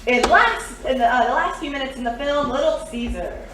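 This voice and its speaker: A woman's voice: high voice, animated, brisk. A woman co-hosting a live quiz on stage, reading out questions in front of a studio audience. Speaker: cast member and quiz co-host. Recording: echoey, distant live stage recording with noise.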